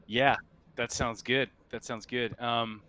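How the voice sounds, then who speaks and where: A man acting as game master, improvising halting scene descriptions for a tabletop roleplaying game. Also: mid-range voice, steady tone, bright, forceful tone.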